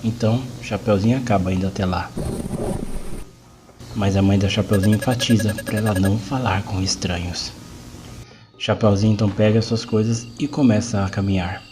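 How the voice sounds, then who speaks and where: A man's voice: on the low side, fairly even intonation, moderate pace, music in the background. A man with a Brazilian accent narrating a scripted horror story.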